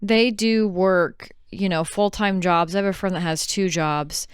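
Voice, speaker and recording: Very clear-voiced woman chatting on a podcast. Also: fairly low pitch, fairly even intonation, unhurried, no echo, studio quality. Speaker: podcast co-host. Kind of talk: casual podcast chat.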